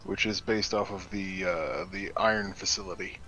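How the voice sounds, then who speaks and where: A man's voice: low-pitched, flat delivery, moderate pace, sharp tone, low-quality recording. A man chatting casually as a co-player during a video game. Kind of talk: casual gameplay chat.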